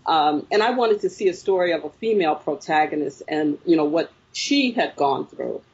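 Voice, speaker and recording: A woman's voice: low voice, expressive, normal speed, husky, dull audio. A woman filmmaker answering interview questions, telling her story reflectively with frequent fillers. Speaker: filmmaker guest.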